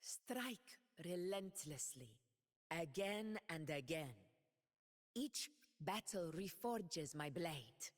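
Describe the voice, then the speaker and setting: A proud warrior ruler. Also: female voice, on the low side, in a large hall. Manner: slow, measured and grand in speech; roaring battle shouts. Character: proud warrior woman ruler, fierce and commanding. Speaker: game character. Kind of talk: video game voice lines.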